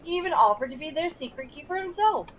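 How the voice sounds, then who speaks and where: A woman plays a proper, stern older professor with a tender streak in an audio play. Her voice is high and swings widely as she talks, formal and emphatic. A faint noise runs behind it. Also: lively intonation, quick pace, fairly bright tone. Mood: grave.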